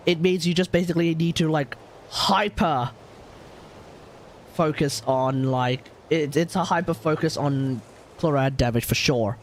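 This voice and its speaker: A man's voice: a little high, takes it slowly, long pauses, slight background noise. A man livestreaming a video game, talking casually and thinking aloud in an informal way. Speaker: game streamer. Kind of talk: casual live gameplay commentary. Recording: game music behind the voice.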